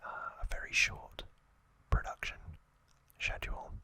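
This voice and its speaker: A man whispers softly as an ASMR host, with no voice behind the breath. The sound is bright and crisp in its hiss, and the delivery is very unhurried, breaking into short phrases. He recaps trivia informally, with halting little asides. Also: dry recording. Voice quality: soft, breathy unvoiced whisper.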